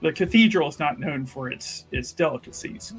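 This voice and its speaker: Higher-pitched man, rambling and chatty. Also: lively intonation, normal speed, over loud music, low-quality recording. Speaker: tabletop game player. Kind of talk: casual improvised roleplay talk.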